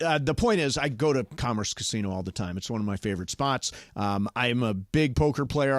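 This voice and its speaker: A man's voice: mid-range voice, no room sound, studio quality. A man hosting a sports radio show, chatting casually and reading an ad in an easy, jokey way. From Ohio. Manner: chatty, jokey, slides into ad reads. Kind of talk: casual radio chat and live read. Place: radio studio.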